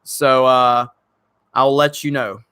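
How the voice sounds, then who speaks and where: A man's voice: mid-range voice, fairly even intonation, slow, pushed and bright, clear, resonant tone. A man with a crackly voice hosting a casual livestream, reading viewer comments and answering in a blunt, joking way with some swearing. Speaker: channel host.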